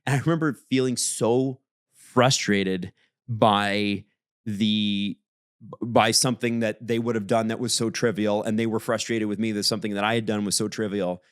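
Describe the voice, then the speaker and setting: A man with a booming voice co-hosting a casual podcast, animated and jokey, often jumping high in pitch when he teases. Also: moderate pace, clear, resonant tone, no room sound, studio quality. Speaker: podcast co-host. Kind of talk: casual chat.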